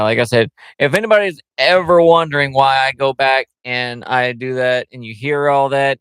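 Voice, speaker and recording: A man's voice: medium pitch, creaky, a bit bright, clean tone, no room sound. A man hosting a casual livestream, rambling in a loose, chatty way with lots of fillers, talking into a headset mic. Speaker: livestream host.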